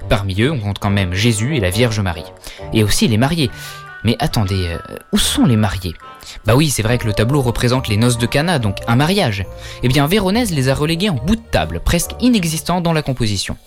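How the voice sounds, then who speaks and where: A man's voice: mid-range voice, sing-song, brisk, soft background music. A man narrating a scripted art-history lesson, speaking directly to viewers with questions and wry asides. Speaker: educational video narrator.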